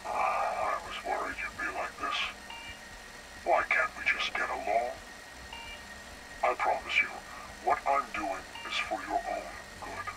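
A man's voice: medium pitch, natural voice. Man playing smug, menacing interrogator, heavy background noise. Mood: coaxing. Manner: condescending, coolly taunting, deliberate. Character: menacing, smug intelligence director interrogating a captive. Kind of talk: dramatic video game voice acting.

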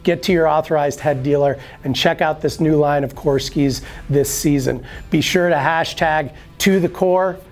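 A man's voice: fairly high pitch, normal speed, few pauses, soft background music. A man in his forties giving an upbeat scripted product walkthrough in a showroom, explaining and gesturing as he talks, on a lapel mic. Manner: upbeat, explanatory, gestures at skis while talking. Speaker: brand product presenter. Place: ski shop showroom, indoors.

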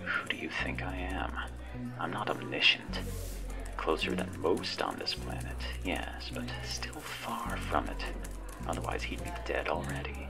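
A man playing a sly, menacing, all-knowing cyberpunk handler in an ASMR roleplay, with a whispery, gravelly voice and a sardonic, teasing manner. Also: low-pitched, lively intonation, loud music behind the voice, heavily acted. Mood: wry, coolly menacing. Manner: slow-burning, sardonic, teasing.

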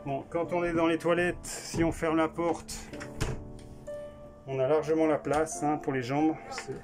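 A man's voice: a little high, flat delivery, measured pace. A man casually touring a small camper van at a trade show, thinking aloud with many fillers and restarts, filmed on a handheld camera. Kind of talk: casual walkthrough commentary. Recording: music playing behind the voice.

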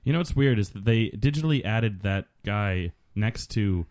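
A man's voice: lowish voice, animated, normal speed, darkish tone. A man co-hosting a casual movie commentary, loose and joking, often trailing off mid-sentence. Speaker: podcast co-host.

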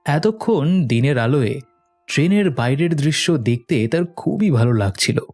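A man's voice: fairly high pitch, expressive, normal speed, darkish tone. A man narrating a scripted horror cartoon story in a dramatic storytelling style. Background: faint music.

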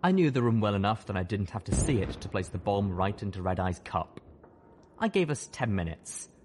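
Casting: male audiobook narrator, low voice, dramatic first-person storytelling over noisy rumbling effects. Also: big pitch swings, fairly quick.

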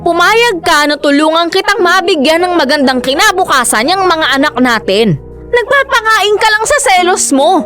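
A woman's voice: very high-pitched, lively intonation, normal speed, a bit bright, faint music behind. An actress playing a fisherman's wife and mother of four in a radio drama, emotional, pleading and confrontational. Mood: hurt, defiant. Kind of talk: broadcast radio drama acting.

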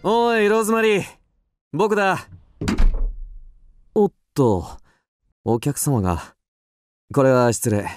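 A man playing an upper-class husband in a radio drama, suave and indulgent, teasing with gentle condescension. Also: on the high side, sing-song, slow pace, pauses often, over music. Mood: surprised, polite.

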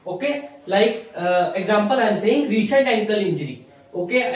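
A young man with an Indian accent giving a whiteboard lecture in an explanatory way, repeating phrases and posing questions that he answers himself. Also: extremely high pitch. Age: young adult. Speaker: physiotherapy teacher. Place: small indoor room in front of a whiteboard. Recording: echoey room, distant microphone.

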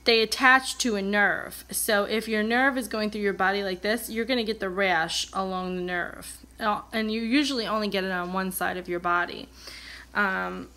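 A woman's voice: mid-range voice, fairly even intonation, normal speed, pushed and bright. An American woman with a slight cold, telling a personal story in a casual, candid, rambling way and acting out remembered dialogue. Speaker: vlogger, coloring channel host. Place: indoors at a table, coloring on camera.

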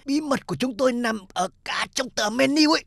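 A man's voice: very high-pitched, brisk, gravelly. A man voicing a crafty restaurant owner in a cartoon, explaining in a smug, knowing way. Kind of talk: comedy cartoon dub.

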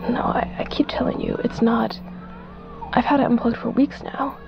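An exhausted mother acted by a woman in a horror drama, with a fairly high, creaky voice. She sounds strained and naturalistic, with music clearly behind her. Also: fairly even intonation, normal speed, in a whisper, dull audio. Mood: desperate. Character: strained, exhausted young mother of a small child.

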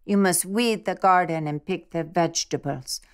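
A woman voicing a firm, grandmotherly old village witch in a storybook, giving deliberate instructions. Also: on the low side, smooth, close to an everyday voice.

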